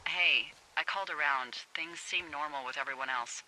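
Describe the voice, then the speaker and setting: An actress playing a wry, uneasy American fire lookout in a video game, speaking hesitantly and conversationally through a walkie-talkie filter. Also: female voice, low-pitched, moderate pace, sharp tone, slight background noise, rough recording. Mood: helpful. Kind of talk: naturalistic video game voice acting.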